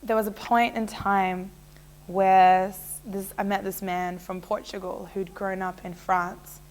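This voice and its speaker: A woman, telling her life story in a relaxed, chatty way full of fillers and restarts. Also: medium pitch, unhurried. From Australia. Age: 20s. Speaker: interviewee. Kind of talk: casual personal storytelling.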